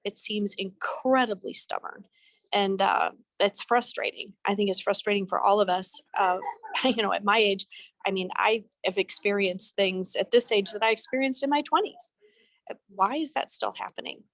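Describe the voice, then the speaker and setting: A woman's voice: medium pitch, moderate pace, a bit bright, no echo. A middle-aged woman talking earnestly on a video call from a busy event venue, with some restarts. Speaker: panelist on a talk show. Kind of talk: casual video-call talk.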